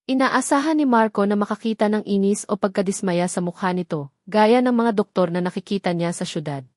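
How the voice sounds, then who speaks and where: A woman's voice: medium pitch, darkish tone, no room sound, studio quality. A woman narrating a scripted, dramatic romance story as a storyteller.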